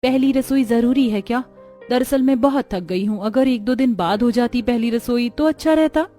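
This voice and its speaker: Actress as health-obsessed young daughter-in-law, cartoon drama, higher pitched, self-assured, light music behind. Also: female voice, moderate pace, mellow, natural voice. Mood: reluctant. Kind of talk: scripted cartoon dialogue.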